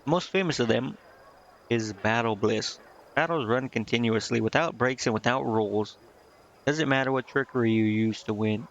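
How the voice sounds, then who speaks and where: A man's voice: medium pitch, moderate pace, lo-fi sound, a little hiss or hum. A man streaming a game, reading its dialogue aloud with casual commentary and stumbling now and then before correcting himself. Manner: stumbles over read text and corrects himself. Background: game music.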